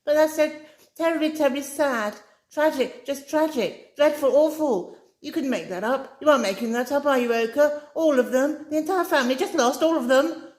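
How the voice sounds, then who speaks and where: Lady doing a high breathless upset young woman, storybook voice, roomy echo. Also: moderate pace, few pauses, a little mellow, lightly acted. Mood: distraught. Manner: breathless, anguished. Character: overwrought gullible young woman, easily frightened. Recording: roomy echoey room.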